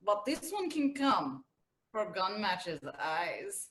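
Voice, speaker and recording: A woman's voice: mid-range voice, sing-song, slow pace, a bit bright. A woman playing a terse, odd follower who talks in clipped, blunt sentences in a comedic audio drama.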